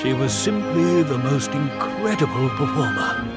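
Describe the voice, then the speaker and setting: A man voicing a grandiose, warm-hearted middle-aged circus ringmaster in a video game cutscene, with a florid showman's delivery. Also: mid-range voice, sing-song, measured pace. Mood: sincere, reflective. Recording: loud music underneath. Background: loud music.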